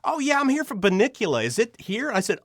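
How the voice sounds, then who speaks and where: A man's voice: very high voice, huge pitch swings, fast, a bit bright, dry recording, clean studio sound. A man speaking as an interview guest, an audio drama writer and director who tells anecdotes with gushing enthusiasm and acts out the dialogue. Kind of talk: animated anecdotal storytelling.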